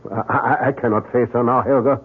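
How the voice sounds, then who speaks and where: A man's voice: mid-range voice, steady tone, brisk, slightly rough, lightly acted. An actor, a man, playing a grave older doctor and father in an old-time radio drama, reflective and emotive. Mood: grieving. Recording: tinny, narrow-band old radio recording.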